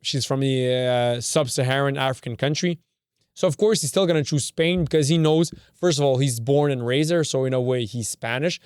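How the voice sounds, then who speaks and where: A man's voice: mid-range voice, steady tone, normal speed, darkish tone, clean tone, no room sound, clean studio sound. A young man from Canada whose first language is Serbian, hosting a podcast in a rambling way, often correcting himself and swearing casually. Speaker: podcast host. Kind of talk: casual football talk.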